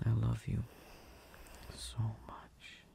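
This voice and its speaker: A man performing gentle, intimate boyfriend ASMR in a soft, soothing, hushed way, close to the microphone. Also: extremely slow. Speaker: comfort audio performer playing a boyfriend. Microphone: close desk microphone.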